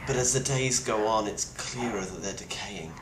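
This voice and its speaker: A man playing a grim, weary plague survivor, speaking gravely and bluntly in a halting video-diary monologue. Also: on the low side, close to an everyday voice. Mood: grim, wary. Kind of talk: in-character monologue, found-footage video diary. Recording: roomy echo with clear background noise.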